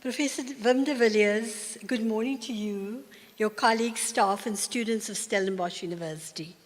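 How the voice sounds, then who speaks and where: An older woman with a South African accent giving a formal acceptance speech in a large university hall, measured and earnest. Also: mid-range voice. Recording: long reverb of a large hall.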